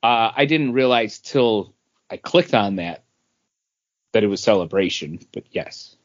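A man co-hosting a podcast in casual chat, explaining things in a halting way. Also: fairly low pitch, animated. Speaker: podcast co-host. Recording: dull, lo-fi audio.

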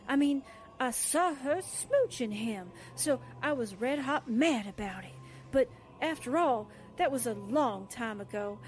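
An actress playing a brash, wisecracking young newspaperwoman with slangy, chatty delivery in a radio drama style. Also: a little high. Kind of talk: 1940s radio drama acting.

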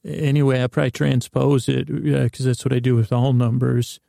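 Gravelly, creaky man rambling soothingly. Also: mid-range voice, little pitch movement, dark tone, no echo, studio quality. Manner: meandering, halting, repeats and trails off into tangents; soothing, lulling. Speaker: podcast host. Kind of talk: rambling, improvised bedtime monologue.

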